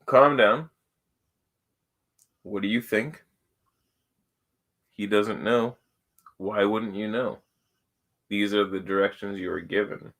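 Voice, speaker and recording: A man's voice: fairly low pitch, slow pace, pauses often, fairly bright tone. A man with a Canadian accent giving casual, blunt live commentary full of slang and swearing as he hosts a reaction stream.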